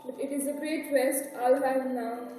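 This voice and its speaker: A woman's voice: high voice, steady tone, normal speed, mellow, natural voice. A woman on stage playing a grieving, resigned old mother, lamenting solemnly in a declamatory way. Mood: weary grief. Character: grieving old Irish mother, resigned after losing her sons. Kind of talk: amateur stage tragedy acting. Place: college stage performance in a hall. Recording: echoey hall, distant mic, faint noise.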